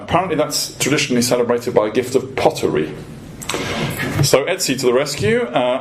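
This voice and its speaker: A man giving a prepared policy presentation to a room as a guest speaker, in a measured, lightly humorous manner with pauses. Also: fairly low pitch, darkish tone. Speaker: guest speaker, industry association executive. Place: event room at a dinner debate. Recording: clearly noisy live event recording.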